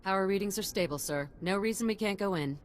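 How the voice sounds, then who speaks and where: A woman acting a military engineer in a video game, medium in pitch. She sounds professional and matter-of-fact over faint noise. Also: fast, close to an everyday voice. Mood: businesslike.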